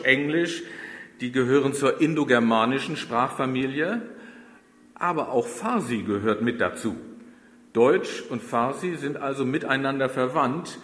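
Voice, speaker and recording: A middle-aged man from Germany preaches at an ordinary, mid-range pitch, talking with his congregation as much as to them. His voice carries through a large church with a long, ringing reverb. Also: a bit bright. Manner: conversational, addresses the congregation directly. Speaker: pastor. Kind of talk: sermon.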